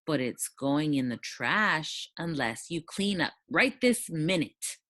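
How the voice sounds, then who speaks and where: A woman voicing a gentle, bookish young male knight in a children's storybook, polite and kind. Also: deep voice, lively intonation, slow, no room sound, full character voice. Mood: cheerful, persuasive. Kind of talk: children's storybook read-aloud.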